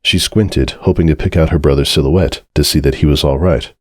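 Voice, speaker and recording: A man's voice: a bass voice, a little fast, dry recording, studio quality. A man reading a scripted story as narrator, in a dramatic storytelling style.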